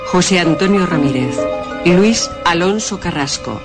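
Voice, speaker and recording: Woman announcer from over loud music. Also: fairly low pitch, lively intonation, a little slow. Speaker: radio announcer reading credits. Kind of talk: scripted radio credits announcement.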